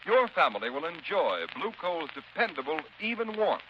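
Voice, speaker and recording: A man's voice: fairly high pitch, very animated, normal speed. A man announcing in 1930s radio style, with formal, emphatic, declamatory delivery. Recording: noisy muffled 1930s radio recording.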